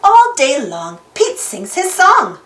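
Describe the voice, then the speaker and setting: A woman narrating a children's storybook aloud in an expressive, sing-song way for young listeners. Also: high voice, takes it slowly, noisy background. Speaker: storybook reader and channel host. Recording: roomy echo with faint music. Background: faint music.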